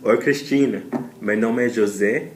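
Slow-paced man, careful learner read. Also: lowish voice, a little hiss or hum. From southern United States. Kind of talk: recorded viewer message.

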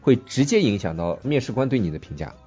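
Husky joking Chinese man, lively voice. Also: medium pitch, fast talker, lo-fi sound. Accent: Mandarin Chinese. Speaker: podcast co-host teaching English. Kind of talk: bantering bilingual lesson chat.

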